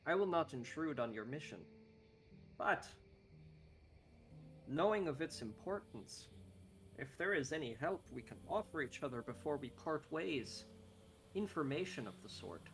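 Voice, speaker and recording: A man's voice: a little high, animated, a little slow, long pauses, a bit bright, slight background noise. A man voicing a courteous, scholarly male desert guide in a put-on Icelandic accent, speaking formally and explaining at length.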